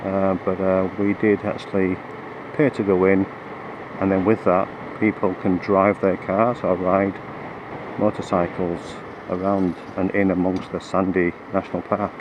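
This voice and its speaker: A man riding a motorcycle with a cold-roughened, croaky voice, chatting through the route with lots of ums and restarts. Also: low voice, flat delivery, measured pace, highs cut off. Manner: hesitant, many fillers and restarts. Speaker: motorcycle vlogger. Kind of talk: rambling ride commentary. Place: on a motorcycle on the road. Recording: road and engine noise behind.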